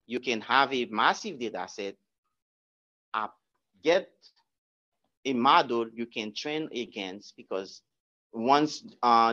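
Halting man speaker at a meetup. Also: medium pitch, fairly bright tone, muffled recording. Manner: halting, with many restarts and repeated words. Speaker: guest speaker. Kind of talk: answering audience questions at a meetup talk. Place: lecture room.

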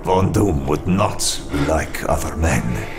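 Imperious armored tyrant, solemn motion comic narration, deep and unhurried, loud score underneath. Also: male voice, animated, music in the background, full character voice. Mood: solemn, cold. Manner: grandiose, solemn, deliberate. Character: cold imperious armored villain recounting his origins.

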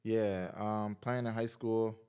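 A man in his twenties from Massachusetts, a guest in a casual podcast interview, telling stories in a relaxed, laid-back way with lots of "like.". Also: fairly low pitch, takes it slowly, clean tone. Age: 20s. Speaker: guest, pro football player. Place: small indoor podcast studio. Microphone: boom mic on arm beside him.

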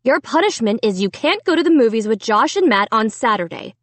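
A woman's voice: fairly high pitch, big pitch swings, a little fast, no echo. A woman voicing a stern mother who scolds her children firmly and sharply in a storybook. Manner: firm, sharp, commanding.

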